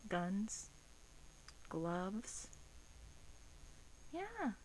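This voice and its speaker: Slow, hushed female vampire played by a woman, soft-spoken ASMR. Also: medium pitch, sing-song, a little hiss or hum, poor audio. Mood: smug, disdainful. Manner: slow, hushed, slipping into whispers, musing. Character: centuries-old female vampire, smug, predatory, softly doting on captive.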